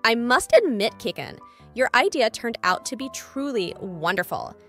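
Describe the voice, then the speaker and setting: A woman's voice: a little high, sing-song, moderate pace, fairly bright tone, faint music behind, heavily acted. A woman voicing a sleepy, smug cartoon cat in an amateur comic dub.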